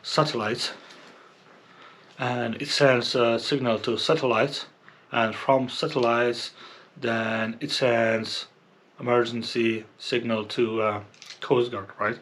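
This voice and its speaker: A man giving a casual, unscripted product review as a presenter, explaining haltingly and often checking in with a questioning okay. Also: medium pitch, level tone, a bit bright. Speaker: fishing channel presenter reviewing gear.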